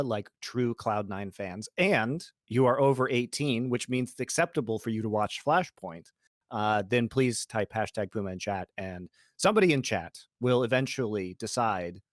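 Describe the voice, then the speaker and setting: A man hosting an esports stream show, playful and quick to laugh while reading promos and asking questions. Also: medium pitch, no echo, studio quality. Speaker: host.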